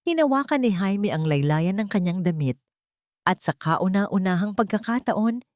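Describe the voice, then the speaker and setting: A woman's voice: medium pitch, expressive, moderate pace, a little mellow, no echo, clean studio sound. A woman narrating a scripted dramatic story in an earnest, suspenseful storytelling manner. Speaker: audiobook narrator.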